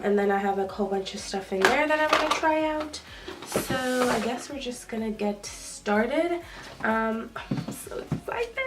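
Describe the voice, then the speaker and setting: A young woman vlogger with a stuffy, nasal voice from a cold, chatting casually with lots of ums as she does her makeup. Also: on the high side. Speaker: beauty vlogger. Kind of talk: casual makeup tutorial talk. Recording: slightly distant with faint noise.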